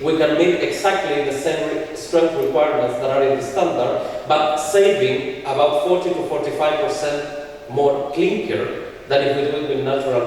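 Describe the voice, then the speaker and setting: A man's voice: a little high, a little mellow, a little hiss or hum. A man from Chile giving a technical conference talk, explaining slides step by step with frequent restarts, heard from far off in a large hall. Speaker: conference speaker.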